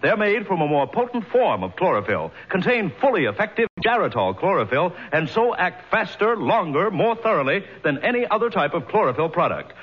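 A man giving an emphatic, salesmanlike 1950s radio advertising pitch in a husky voice. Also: big pitch swings, fairly quick, few pauses. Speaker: commercial announcer. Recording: dull old radio broadcast.

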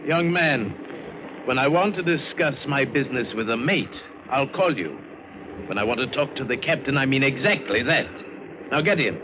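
A man's voice: medium pitch, expressive, normal speed, dull audio, natural voice. An actor playing a fussy, imperious businessman travelling as a ship's passenger in an old radio drama, haughty, insistent and indignant. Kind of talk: 1940s-50s radio drama acting. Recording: thin, noisy old radio recording.

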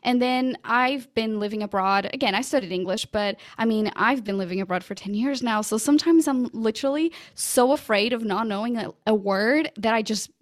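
A woman's voice: a little high, normal speed, hardly any pauses. Eager chatty woman, Portuguese native. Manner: eager, talks in long run-on stretches. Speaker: podcast producer, guest. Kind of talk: casual interview chat.

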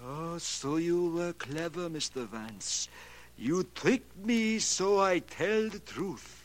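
An actor playing an unhinged, bitter foreign-accented astrology fanatic, theatrical and in broken English, in a 1940s radio melodrama. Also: male voice, on the high side, lively intonation, slow. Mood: menacing. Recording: vintage 1940s radio recording.

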